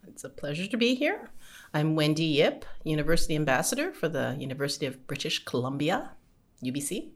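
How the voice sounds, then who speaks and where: A middle-aged Canadian woman, an interview guest, telling her family's migration story in a relaxed, rambling way with frequent laughs. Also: low voice, a little fast. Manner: rambling, chatty, laughs often.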